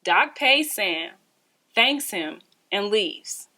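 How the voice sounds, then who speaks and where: A woman reading a children's story aloud in an expressive, deliberate storytelling style. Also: medium pitch. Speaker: read-aloud storybook reader.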